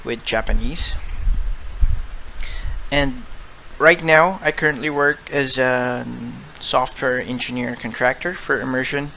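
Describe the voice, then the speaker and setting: A man's voice: medium pitch, sharp tone, a little hiss or hum, rough recording. A man from the Philippines with a Filipino accent, whose first languages are Tagalog and Cebuano, giving a careful recorded self-introduction. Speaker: software engineer giving a sample self-introduction.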